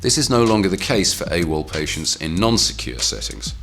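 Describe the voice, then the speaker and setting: A man narrating a training film from a script in a formal, measured, authoritative way. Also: low-pitched, sing-song, fairly bright tone, faint music behind.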